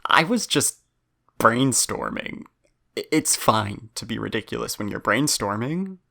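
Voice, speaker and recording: Slow, halting starship passenger. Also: male voice, mid-range voice, lively intonation, creaky, pushed and bright, exaggerated voice. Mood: curious, awkward. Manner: halting, stammering, tentative. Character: hesitant, gently teasing human starship passenger named Miles. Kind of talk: audio drama dialogue.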